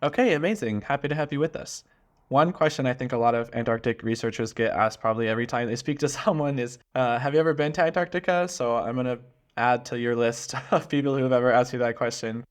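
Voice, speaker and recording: A man hosts a podcast, first reading a prepared introduction and then putting questions to his guest. He speaks clearly and earnestly, with a friendly manner. His voice has an average pitch for a man. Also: fast talker. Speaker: podcast host. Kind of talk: scripted intro and interview questions.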